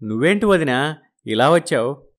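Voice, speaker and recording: A man's voice: on the high side, expressive, moderate pace, close to an everyday voice. A man playing a genial, food-loving village brother-in-law in a moral story, effusive and full of exclamations. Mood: delighted.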